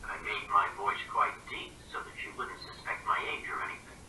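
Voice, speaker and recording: A man reading a first-person novel aloud in a conversational, expressive way. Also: fairly quick. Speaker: reader. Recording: roomy, distant mic, faint hiss.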